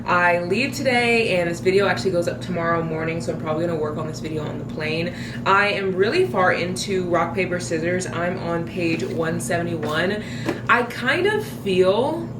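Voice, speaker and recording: A woman's voice: lowish voice, moderate pace. A young American woman with a slightly crackly, tired voice, chatting casually and rambling in an informal book vlog. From US Midwest. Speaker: book vlogger. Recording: roomy echo, distant mic, clear background noise.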